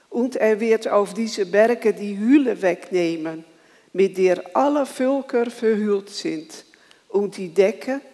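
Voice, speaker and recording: Slow, halting worship leader in a reverberant hall. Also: medium pitch, a little mellow. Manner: slow, halting delivery with grammar slips. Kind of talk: church welcome, prayer and scripture reading.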